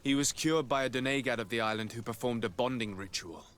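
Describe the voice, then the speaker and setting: Earnest male noble played by an actor, courteous and measured, game cutscene, ambient noise behind. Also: medium pitch, fairly bright tone, natural voice. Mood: grave, urgent. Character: earnest, courteous young noble diplomat, burdened by family betrayal. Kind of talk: video game cutscene dialogue acting.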